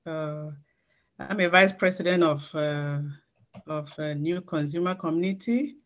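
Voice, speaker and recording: Lady, middle-aged, earnest halting testimony, presenting a training. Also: deep voice. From Cameroon.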